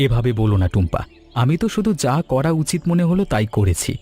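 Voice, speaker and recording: A man voicing a kind, generous gentleman customer in a cartoon story, speaking earnestly and with feeling. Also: medium pitch, fairly quick, soft background music. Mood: reassuring. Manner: expressive, earnest, caring. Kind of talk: animated cartoon story dialogue.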